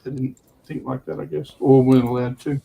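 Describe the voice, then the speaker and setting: A man's voice: medium pitch, little pitch movement, fairly quick, vocal fry, mellow, poor audio. A man chatting casually as a livestream panelist, in a tentative, loosely phrased way. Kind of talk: casual hobby chat.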